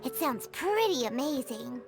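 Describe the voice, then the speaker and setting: A woman voicing a tiny, excitable, childlike fairy companion girl in a video game, with a squeaky voice and an exclamatory manner. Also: unhurried, over loud music, exaggerated voice. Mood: excited, curious. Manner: exclamatory, speaks of herself in third person.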